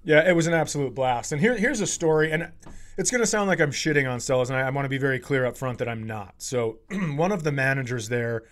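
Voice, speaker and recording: A man hosting a comedy podcast, telling casual, profane stories in an animated way and acting out the quotes. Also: mid-range voice, fast. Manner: animated, profane, acts out quotes in his anecdotes.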